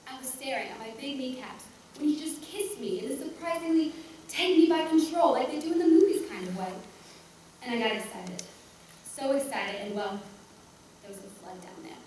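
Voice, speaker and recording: A woman plays an elderly woman in a stage monologue, reluctant and blunt yet chatty. Her voice sits fairly high, and she keeps interrupting herself with dismissive asides. It is heard from a distance in a very echoey room. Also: expressive, moderate pace, a little mellow. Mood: wistful, confiding. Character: reluctant, embarrassed seventy-two-year-old woman, chatty and blunt. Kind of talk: theatrical monologue. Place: echoey room, recorded from far off. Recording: echoey room, distant mic, faint noise.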